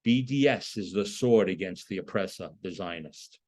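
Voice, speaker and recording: A man's voice: deep voice, moderate pace, a little mellow. A man lecturing in an explanatory, emphatic manner, posing rhetorical questions as he goes. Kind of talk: lecture on a political topic.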